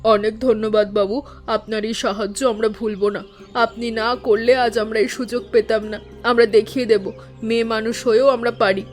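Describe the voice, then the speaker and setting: A woman's voice: fairly high pitch, fairly even intonation, moderate pace, soft background music, natural voice. A woman voicing an earnest, dutiful village daughter-in-law in a cartoon story, worried but resolute. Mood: respectful. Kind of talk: Bengali cartoon story dialogue.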